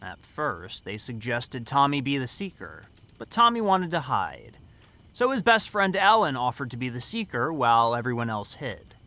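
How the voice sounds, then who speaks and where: A man's voice: medium pitch, sing-song, moderate pace, pushed and bright. A man playing a cocky, teasing teenage camp counselor who tells stories dramatically in an audio drama. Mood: suspenseful storytelling. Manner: teasing, dramatic storytelling delivery. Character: cocky teenage summer camp counselor telling a scary story.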